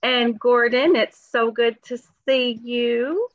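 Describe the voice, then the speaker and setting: Woman from long-term care on a video call; bright-ish pitch, chatty, dull dry sound. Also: takes it slowly, no echo. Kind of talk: casual webinar introduction.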